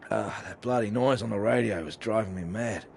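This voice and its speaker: An actor playing a wounded, stranded man, gruff and sardonic. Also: on the low side, fairly quick, darkish tone, slight background noise. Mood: grim.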